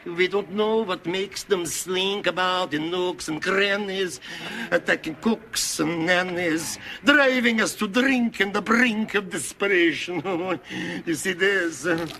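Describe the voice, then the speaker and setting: A man's voice: sits high, pushed and bright, heavily acted. A man playing a flustered, defensive medieval scholar, nervous and fussy in comic rhyming dialogue.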